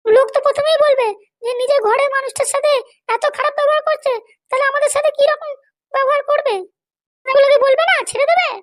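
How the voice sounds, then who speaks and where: A woman's voice: extremely high pitch, fairly even intonation, fast. A woman voicing a hot-tempered, foul-mouthed wife who is running for election in a comedy cartoon, with a scolding, threatening manner. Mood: furious, threatening. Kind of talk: amateur comedy cartoon dialogue.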